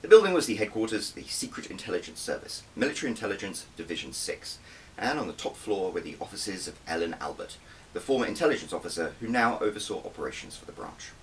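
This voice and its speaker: A man's voice: deep voice, very animated, quick pace. A man reading his own first-person audiobook with dramatic, scripted narration. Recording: distant mic with faint background noise.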